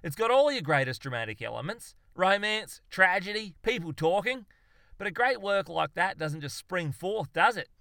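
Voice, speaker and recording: A man's voice: a little high, lively intonation, a little fast, sharp tone, dry recording. A man voicing a fervent, exasperated Australian zoologist in a comic story, ranting emphatically and swearing. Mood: gleeful. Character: exasperated, blustering Aussie bloke zoologist, manically fervent.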